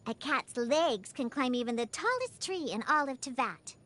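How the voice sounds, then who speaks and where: Tiny bratty catgirl bartender for a game, super high voice, swings all over, proud n pouty. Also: lively intonation, moderate pace, fairly bright tone. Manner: pouty, bratty, cutesy exclamations. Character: feisty, proud cat-eared young girl bartender. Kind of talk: video game character voice lines.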